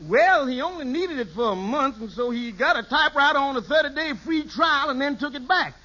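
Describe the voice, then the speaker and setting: An actor playing an excitable, girl-chasing shady lawyer in an old radio sitcom, spinning tall tales with folksy grammar and chuckles. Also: very high voice, fairly quick, heavily acted. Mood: advising. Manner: chuckles through his stories, folksy nonstandard grammar. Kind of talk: 1950s radio sitcom acting. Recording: muffled, noisy old radio recording.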